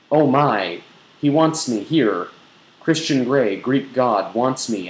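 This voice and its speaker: Echoey low-voiced man reading dramatically. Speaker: blogger reading aloud. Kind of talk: dramatic reading of an erotic novel. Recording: roomy echo, faint background noise.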